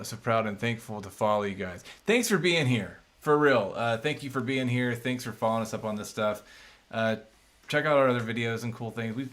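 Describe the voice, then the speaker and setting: A man's voice: on the low side, fairly bright tone. A man in his thirties, co-hosting a casual chat and reading viewer comments aloud in a laid-back, chatty way. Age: 30s. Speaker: co-host.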